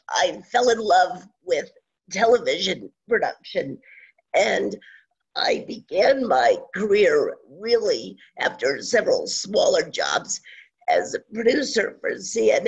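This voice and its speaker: A woman presenting a webinar, her voice hoarse and strained from a cold, speaking haltingly with frequent fillers. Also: mid-range voice. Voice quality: strained raspy voice. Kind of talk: webinar talk. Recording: dull lo-fi audio.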